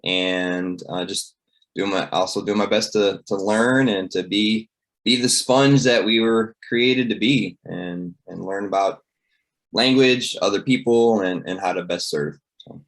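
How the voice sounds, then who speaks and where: Low-voiced man chatting on a panel. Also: measured pace, low-quality recording. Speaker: panel guest, university instructor. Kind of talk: casual self-introduction and chat.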